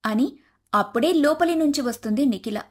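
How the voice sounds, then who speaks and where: High-pitched woman storyteller. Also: expressive, moderate pace. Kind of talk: scripted moral-story narration for animation.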